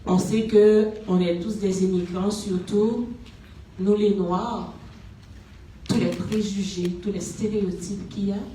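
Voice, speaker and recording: An interviewer asks long, carefully built questions in a measured, formal tone. The mellow voice sits back from the microphone in an echoey hall with faint background noise. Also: mid-range voice. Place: community centre hall. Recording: echoey room, voice from a distance.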